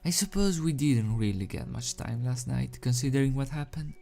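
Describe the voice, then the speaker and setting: A man voicing a caring, worried young female unicorn pony in a storybook reading, soft-spoken and anxious. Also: medium pitch, lively intonation, moderate pace, gravelly, faint music behind.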